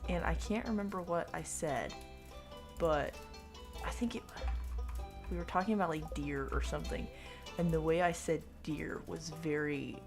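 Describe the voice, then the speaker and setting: A woman's voice: low-pitched, normal speed, over music. A woman from Oklahoma with a light Oklahoman accent, chatting casually with her chat while gaming, rambling and giggling at herself. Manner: rambling, self-mocking, giggly. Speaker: streamer.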